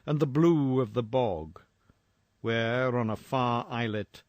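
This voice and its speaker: Man, medium pitch, slow pace, dramatic literary horror narration, measured formal delivery, dry sound. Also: dry recording. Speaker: narrator reading a horror short story.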